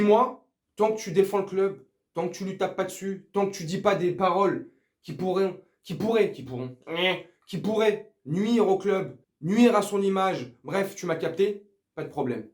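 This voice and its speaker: A man's voice: sits high, darkish tone. A man giving a casual football rant to viewers, crude, slangy, teasing and confrontational. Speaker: football fan video host. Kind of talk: casual ranting commentary to viewers. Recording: echoey room.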